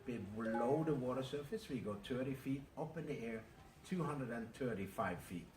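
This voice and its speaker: A Norwegian man in his fifties answers in a measured, factual way, often pausing on "uh". He sits away from the mic in a roomy, echoing space. Steady noise hums behind him. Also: mid-range voice. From Norway. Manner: measured, factual, with many "uh" pauses. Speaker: cruise ship captain. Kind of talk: interview answers. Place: aboard a large cruise ship. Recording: roomy, distant, noisy ambience.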